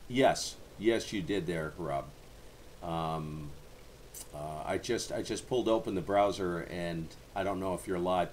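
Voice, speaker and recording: A man's voice: low voice, unhurried. A man chatting casually over amateur radio as a livestream host, in a folksy, rambling way. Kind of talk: casual ham radio chat. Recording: noisy amateur radio channel, background hiss.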